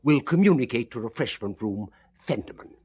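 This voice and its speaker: Husky, pedantic associate. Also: male voice, medium pitch, expressive, a little mellow. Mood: formal, measured. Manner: formal, deliberate, precise reporting. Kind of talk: radio drama acting.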